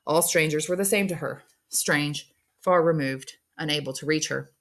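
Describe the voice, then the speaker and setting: A woman with a low-pitched voice reads a novel aloud. She narrates in the manner of an English teacher sharing a story, following the written prose. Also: moderate pace, a bit bright.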